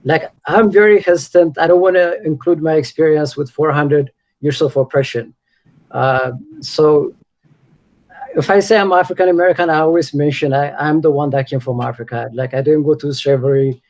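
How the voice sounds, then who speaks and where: A man's voice: on the high side, moderate pace, a little mellow, poor audio. A man speaking as a guest on an online panel, hesitant with many filler sounds. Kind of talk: casual panel discussion.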